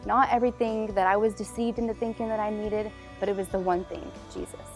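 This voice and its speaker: A woman in her early twenties shares an informal testimony in a mid-range voice. She is expressive and conversational, with soft music in the background. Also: medium pitch, fairly quick. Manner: expressive, uses "like" often. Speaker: church member giving testimony.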